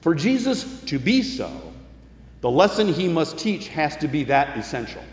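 A man preaching a sermon as a pastor, phrasing his points deliberately and emphatically with some colloquial turns. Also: on the high side, sing-song. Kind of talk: sermon with scripture commentary. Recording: echoey hall, long reverb, dull sound.

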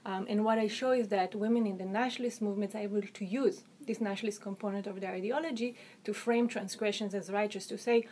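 A woman with an Israeli accent, an author answering interview questions about her research in an articulate, thoughtful way, often pausing with "um" and restarting phrases. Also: mid-range voice, steady tone, dark tone, a little hiss or hum. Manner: articulate, hedging with um and you know, frequent restarts.

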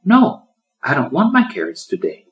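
A man's voice: a little high, huge pitch swings, moderate pace, gravelly, a bit bright, voice pushed up, heavily acted. A man voicing an insistent, emotional little girl in a storybook reading. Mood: defiant. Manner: exclaiming, insistent. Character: defiant, distraught little girl.

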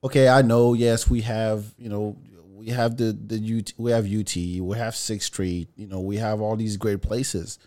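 Middle-aged man, French native, guest on a casual talk podcast, lively storyteller. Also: on the low side, moderate pace, hardly any pauses. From France. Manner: animated, restarts phrases, laughs easily.

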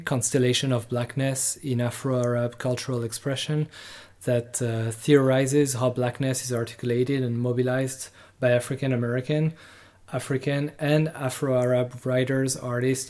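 A man hosting a podcast, reading a scripted intro and then interviewing a guest haltingly, with many filler pauses. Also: medium pitch, darkish tone. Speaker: podcast host.

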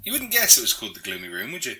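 A man's voice: mid-range voice, wildly swooping pitch, very fast, very noisy. A man giving dry, offhand direction as a studio producer, heard over a distant talkback. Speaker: studio producer interrupting the narrator.

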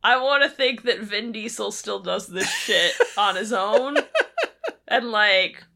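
A woman podcast co-host in casual comedic banter, excitable, informal and salty-tongued. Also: medium pitch, takes it slowly, gravelly.

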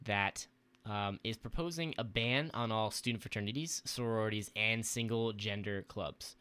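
A man's voice: lowish voice, moderate pace, sharp tone, clear, resonant tone. A young man co-hosting a podcast, chatting casually in an upbeat, joking way. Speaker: podcast co-host. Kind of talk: casual chat.

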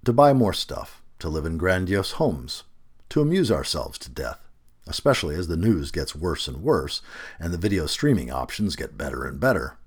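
A man reading a written essay aloud as scripted narration, in an emphatic, expressive manner. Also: deep voice, moderate pace, breathy edge. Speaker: audio narrator reading a feature article.

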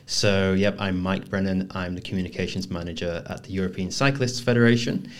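A man talks as a podcast guest. His voice sits low, and he speaks earnestly, pausing over his words as he works out his thoughts. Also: fairly even intonation, smooth. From north of England. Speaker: podcast guest, communications manager. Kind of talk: casual podcast interview.

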